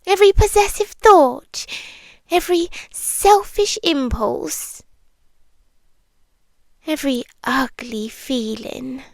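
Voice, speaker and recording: Casting: woman as obsessive yandere monster girlfriend, British, high-pitched, unhurried, intimate ASMR delivery sinking into whispers. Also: vocal fry, no room sound, heavily acted. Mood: intense, obsessive. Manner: hushed, deliberate, dramatic pauses, slipping into whispers. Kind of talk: ASMR roleplay, intimate and slow.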